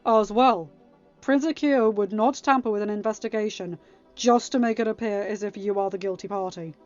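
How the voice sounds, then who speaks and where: A woman's voice: mid-range voice, normal speed, darkish tone, dull audio, close to an everyday voice. A woman voicing a firm, formal young king presiding over a council in a story reading. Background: music.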